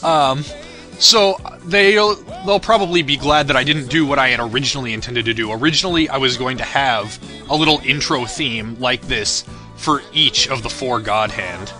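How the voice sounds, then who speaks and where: Man, very bright forceful voice, casual creator commentary, rambling, self-mocking, chuckly, faint music behind. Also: mid-range voice, normal speed. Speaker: creator of an anime parody series giving commentary. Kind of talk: casual, unscripted director's commentary. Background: music and audio of the video being discussed.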